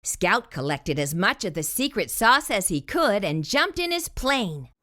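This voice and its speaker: A woman reading a children's picture book aloud as a storyteller, in an animated way with theatrical emphasis. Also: medium pitch, measured pace, a little hiss or hum.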